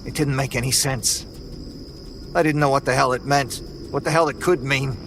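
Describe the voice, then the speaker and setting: A man telling a first-person horror story in a tense, measured way. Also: medium pitch, steady tone, a bit bright, faint music behind. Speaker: narrator.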